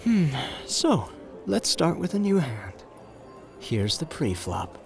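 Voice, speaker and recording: A man's voice: on the high side, wildly swooping pitch, vocal fry, faint music behind, exaggerated voice. A man playing a suave, flirtatious, confident gambler in an ASMR roleplay audio drama, teasing and intimate in the way he talks. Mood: playful, confident. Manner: teasing, intimate, drawn-out phrasing with pet names.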